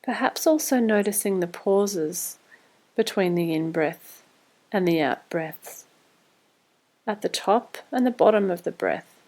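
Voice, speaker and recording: A woman leading a guided body scan meditation, giving scripted instructions in a calm, measured, soothing way. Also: fairly low pitch, rough recording.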